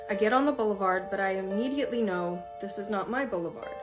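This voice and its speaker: Female storytime host, mid-pitched, reads creepy tales aloud with rising tension over faint music. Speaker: storytime host reading viewer stories. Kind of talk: reading a first-person scary story aloud, then reacting.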